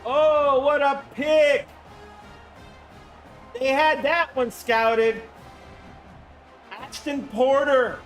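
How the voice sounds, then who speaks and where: A man calling a simulated football game on a live stream, hoarse from the flu, chatting with viewers and breaking into excited shouts on big plays. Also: very high voice, extremely slow, long pauses, faint music behind. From Florida. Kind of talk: live-stream play-by-play commentary.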